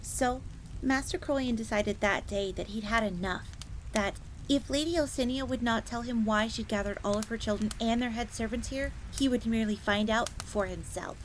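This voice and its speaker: Woman doing a young fox maid, highish voice, earnest n secretive, audio roleplay, bit of fire crackle in the background. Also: normal speed, lightly acted. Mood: confiding. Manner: speaks of herself in third person, confiding. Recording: crackling fire noise behind voice.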